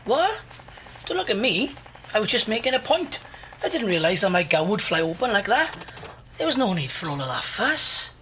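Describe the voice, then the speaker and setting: An actress playing a pretentious, lazy young slacker and snob in an audio drama, pompous and theatrical. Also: female voice, mid-range voice, big pitch swings, normal speed, background noise, close to an everyday voice. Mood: defiant.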